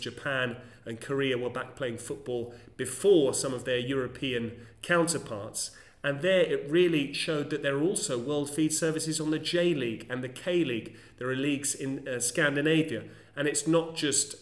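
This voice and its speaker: A man, a conference interpreter and football commentator, talking through a solo vlog monologue in a measured, articulate and fairly formal way. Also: mid-range voice. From England. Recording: roomy echo.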